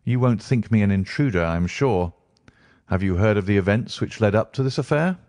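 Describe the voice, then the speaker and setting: A man's voice: lowish voice, lively intonation, darkish tone, no room sound, studio quality, lightly acted. A man reading a cool, incisive detective. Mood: composed. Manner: measured, precise, cool. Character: calm, incisive male detective.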